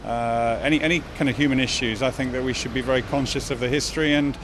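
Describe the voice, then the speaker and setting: A man's voice: medium pitch, fairly even intonation, fast. A man on a city street answering thoughtfully, repeating himself and using fillers. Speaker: passer-by. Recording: loud street traffic.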